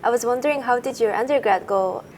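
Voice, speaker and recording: High-pitched young woman interviewer. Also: fast, a little hiss or hum. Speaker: interviewer host. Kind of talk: casual interview.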